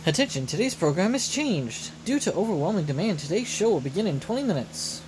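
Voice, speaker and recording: A man voicing a formal factory announcer in a video game, polite, measured and quietly menacing. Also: high-pitched, very animated, noisy background. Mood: coolly official. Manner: measured, official.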